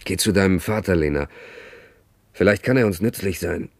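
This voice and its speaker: An actor playing a bitter, sardonic dissident of a dome city in a radio play, with a grumbling, commanding manner. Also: male voice, low-pitched, moderate pace, vocal fry, no echo. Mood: scheming. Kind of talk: 1970s German radio drama acting.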